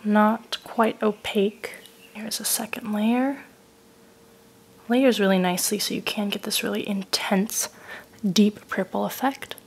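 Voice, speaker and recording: A woman narrates a makeup review while still getting over a cold. She works through each shade in a steady, methodical way. Her tone is descriptive and even. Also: medium pitch, measured pace, gravelly, breathy edge. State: recovering from a cold. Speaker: beauty reviewer.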